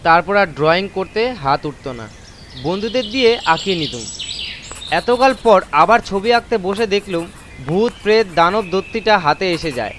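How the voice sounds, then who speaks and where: A man's voice: sits high, expressive, normal speed, fairly bright tone, slight background noise. A man narrating a first-person horror story in a dramatised audiobook style. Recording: constant nature ambience effects behind voice.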